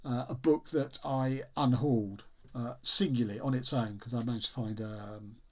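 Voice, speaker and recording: A man talking casually to camera as a book vlogger, in a hesitant, discursive way with halting phrases. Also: medium pitch, steady tone, a bit bright. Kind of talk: casual talk to camera about books.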